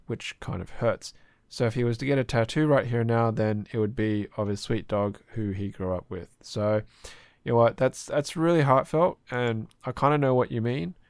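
Male podcast co-host, hoarse from a sore throat, casual and rambling, gravelly and dry. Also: medium pitch, darkish tone, no echo. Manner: chatty, rambling with fillers.

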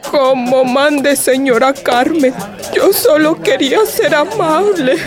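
An actress playing a meek, solicitous servant and nanny in an audio drama, deferential and fussing. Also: female voice, high voice, slow pace. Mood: hurt, submissive. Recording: clear music bed behind.

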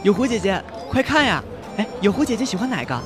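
A woman playing an eager, innocent and polite young boy in a fantasy audio drama, earnest and lively. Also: medium pitch, quick pace, over music, a crowd in the background, lightly acted. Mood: excited, coaxing.

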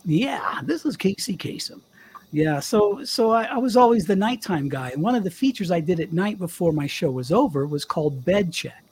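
Older male guest, youthful slightly high voice, husky edge, lively storyteller. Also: moderate pace. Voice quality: young-sounding voice. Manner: chatty, animated storyteller. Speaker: guest, former radio DJ. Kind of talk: casual storytelling interview.

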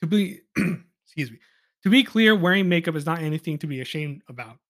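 A man's voice: on the high side, expressive, brisk, fairly bright tone. A man hosting a casual solo podcast, giving opinionated commentary in an informal, exasperated way. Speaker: podcast host.

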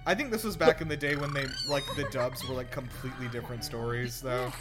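A man's voice: medium pitch, fast talker. A man co-hosting a casual watch-along commentary, nitpicking with an incredulous manner. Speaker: podcast co-host. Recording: loud film soundtrack behind. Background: animated film playing with music.

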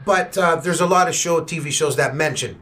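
A man in his fifties from Barbados, chatting casually on a podcast in a relaxed, rambling way and swearing now and then. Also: on the high side, brisk, crowd noise. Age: 50s. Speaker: podcast co-host or guest.

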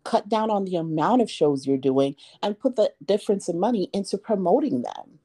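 A woman's voice: medium pitch, lively intonation, normal speed, dark tone, breathy edge. A woman in her thirties co-hosting a casual talk podcast, making her points emphatically with rhetorical questions. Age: 30s. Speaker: podcast co-host. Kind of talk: casual chat.

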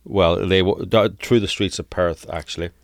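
A man's voice: sits low, steady tone, moderate pace, no room sound, studio quality. A man co-hosting a podcast, telling stories casually and explaining as he goes, repeating words for emphasis. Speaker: podcast co-host. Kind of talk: casual conversational storytelling.

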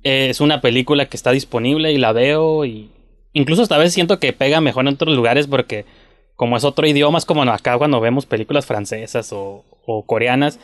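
A man's voice: mid-range voice, fairly quick, no room sound. Male interviewer, rambling casual chat, dry room. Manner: chatty, rambling, slangy.

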